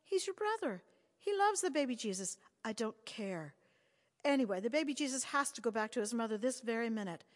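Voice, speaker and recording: A woman's voice: fairly high pitch, expressive, brisk, in a large hall, natural voice. A woman voicing a firm Irish mother. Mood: stern. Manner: soothing yet sharp, matter-of-fact.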